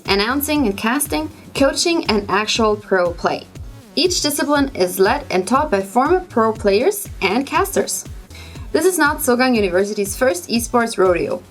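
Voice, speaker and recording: A woman presenter narrating scripted gaming news. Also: fairly high pitch.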